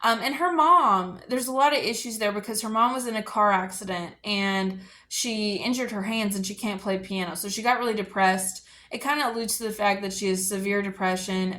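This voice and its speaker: A woman's voice: medium pitch, pushed and bright. Casual, chatty woman discussing books. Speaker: book review video host.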